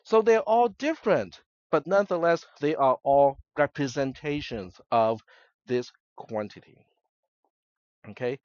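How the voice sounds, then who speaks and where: A man's voice: a little high, a little slow, darkish tone, no room sound, dull audio. A man whose first language is Cantonese, giving a classroom lecture in a conversational way and often checking in with the students. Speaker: college instructor.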